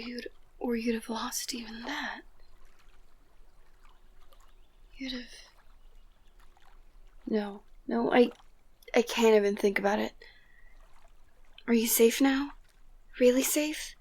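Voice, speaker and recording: A woman playing a tender, excitable young princess in love in a romantic ASMR roleplay, talking haltingly and often slipping into a whisper. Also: fairly high pitch, breathy edge, exaggerated voice. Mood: moved, shaken, then tender concern.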